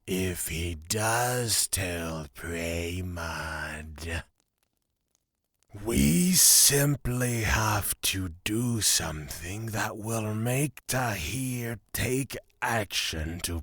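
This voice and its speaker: An actor playing a sinister, mischief-loving immortal who dotes on a pet, with a gravelly, drawn-out, cooing and menacing delivery. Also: male voice, low-pitched, animated, fairly bright tone, no room sound, heavily acted. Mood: sly, menacing.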